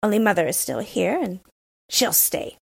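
A woman's voice: medium pitch, sing-song, moderate pace, gravelly, slightly rough, exaggerated voice. A woman doing a bossy wild robber girl. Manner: blunt and bossy.

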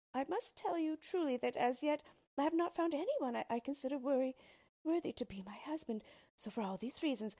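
Young fairy-tale princess , woman reader: proud yet fearful, high and very animated, dramatic delivery. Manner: pleading, indignant, dramatic.

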